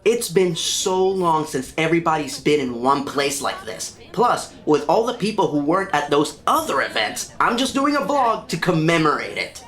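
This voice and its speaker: A woman's voice: sits low, big pitch swings, normal speed, few pauses, fairly bright tone. A woman playing an uneasy vlogger in a comedic audio drama. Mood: casual.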